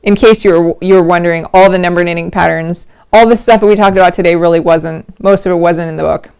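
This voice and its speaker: A middle-aged woman, a little hoarse, hosting a casual livestream from home, chatty and rambling with frequent laughs. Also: on the low side, fairly even intonation, fast talker, darkish tone. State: slightly hoarse. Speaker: host of a knitting livestream.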